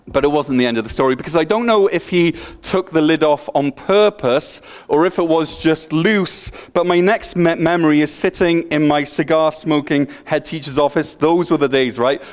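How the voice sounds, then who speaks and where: Welsh pastor with a light stutter. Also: male voice, a little high. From Wales. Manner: self-deprecating, joking, slight stutter with restarts. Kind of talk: sermon.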